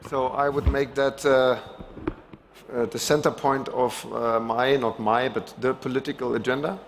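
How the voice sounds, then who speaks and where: A man's voice: medium pitch, fairly even intonation, moderate pace, gravelly, slight background noise. A middle-aged man speaking on a conference panel, with many fillers and restarts. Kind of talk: panel discussion. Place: large conference stage.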